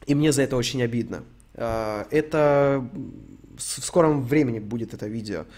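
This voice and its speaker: A man's voice: medium pitch, moderate pace, darkish tone. A young man from Ukraine streaming, answering chat questions in a rambling, sweary, joking way. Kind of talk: casual stream Q&A.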